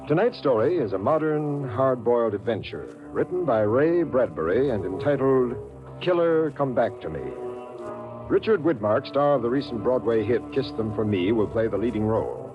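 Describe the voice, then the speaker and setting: A man introducing programs formally and dramatically as a 1940s radio mystery host. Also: medium pitch. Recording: dull old radio broadcast recording.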